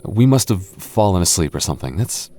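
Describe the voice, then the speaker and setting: Deep-voiced man, teasing boyfriend roleplay. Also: big pitch swings, brisk, mellow, slight background noise.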